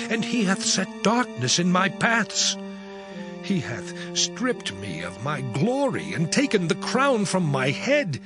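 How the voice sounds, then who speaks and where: An actor playing an anguished, suffering man who pleads his innocence in an impassioned, deliberate scripture drama. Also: fairly high pitch.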